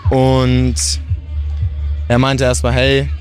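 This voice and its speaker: A nineteen-year-old man talks in an interview, pausing and restarting as he goes. His voice sits at an ordinary middle pitch. Faint music plays behind him outdoors. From Germany, raised in Portugal. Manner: relaxed, hesitant with restarts. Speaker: skateboarder.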